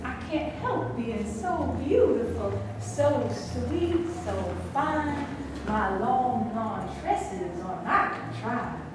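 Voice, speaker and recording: A woman's voice: fairly high pitch, lively intonation, drawn-out words. A woman playing a ditzy, vain starlet. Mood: chirpy. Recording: echoey room with noise.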